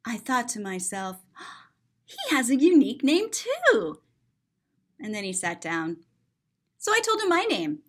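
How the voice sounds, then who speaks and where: A woman's voice: a little high, wildly swooping pitch, a little slow, long pauses. A woman reading a children's picture book aloud as a story-time host, expressively and with simple explanations for young listeners.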